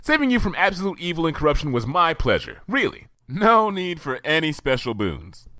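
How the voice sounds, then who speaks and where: A man voicing an eager, bookish young female unicorn who talks in a brisk, earnest, slightly flustered way. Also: fairly high pitch, very animated, sharp tone, dry recording, clean studio sound, pitched above the performer's own voice.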